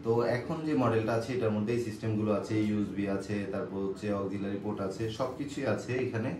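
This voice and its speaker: A man's voice: on the low side, quick pace, a little mellow. A man with a Bangladeshi accent giving a casual product demonstration as a shop presenter. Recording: roomy, distant mic, background noise.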